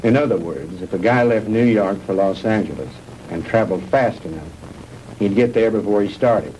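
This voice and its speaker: A man's voice: fairly low pitch, normal speed, dark tone, slight background noise, close to an everyday voice, poor audio. An actor playing a skeptical, plain-spoken man in a 1960s sci-fi B-movie, dry and casual, on an old film soundtrack. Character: skeptical, plain-spoken American everyman listening to a scientist.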